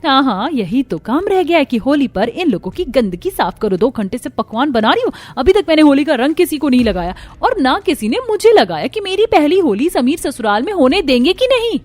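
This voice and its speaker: A woman's voice: high-pitched, big pitch swings, a little fast, few pauses, darkish tone. A woman voicing a spoiled, newly married rich daughter-in-law in a cartoon story, boastful, scornful and snappy. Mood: resentful, angry. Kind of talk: Hindi cartoon story dialogue.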